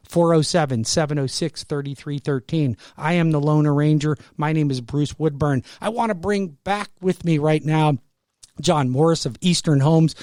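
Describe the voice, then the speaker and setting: A man in his sixties hosting a radio talk show, pitching real estate with emphatic, repetitive salesmanship. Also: a little mellow, no echo, clean studio sound. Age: 60s.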